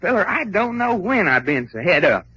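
A folksy Southern adventurer from old radio drama. His voice is bright and husky, enthusiastic and full of slang, on a muffled recording. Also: sits high, sing-song, normal speed, heavily acted. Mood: excited. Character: folksy, excitable, good-natured male adventurer.

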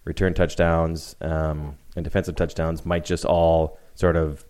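A man with a very low voice trades relaxed, teasing banter about sports with his co-hosts. He speaks loosely, stopping and restarting as the thought comes. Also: measured pace, smooth. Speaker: podcast co-host. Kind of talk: casual sports chat.

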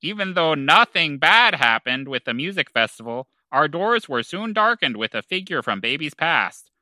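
A man's voice: fairly high pitch, sing-song, moderate pace, sharp tone, no echo. A man performing and narrating a scripted comedic audio drama in a theatrical way.

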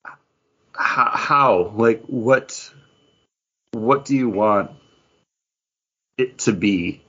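Male podcast host, low and rough-edged, casual and halting, rambling delivery. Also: fairly bright tone, muffled recording. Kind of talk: casual chat.

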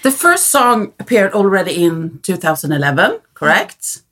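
A woman co-hosting a casual podcast, brisk and quick to laugh. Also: mid-range voice, sing-song, a bit bright. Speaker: podcast co-host.

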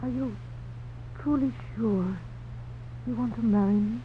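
Young governor's daughter in love played by an actress, careful and courtly, old radio drama, dull recording. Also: female voice, a little high, a little slow, background noise, lightly acted. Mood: wistful. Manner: formal, careful phrasing. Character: gracious, teasing young Spanish-colonial governor's daughter in love. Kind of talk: 1950s radio drama acting.

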